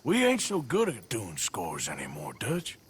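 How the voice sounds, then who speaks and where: A world-worn American gunslinger from a game cinematic, low and gravelly. His delivery swings widely in pitch, blunt and heavy with regret, over a faint bed of ambient noise. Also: huge pitch swings, a little slow. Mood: weary. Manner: blunt, drawling, earnest. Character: gruff, remorseful weary outlaw gunman. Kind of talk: video game cinematic acting.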